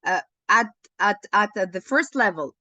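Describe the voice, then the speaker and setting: A woman leading an online teaching session, slow and encouraging, often thanking participants. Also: mid-range voice, expressive, pushed and bright, no echo. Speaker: webinar lecturer. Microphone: video call. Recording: dull online call audio.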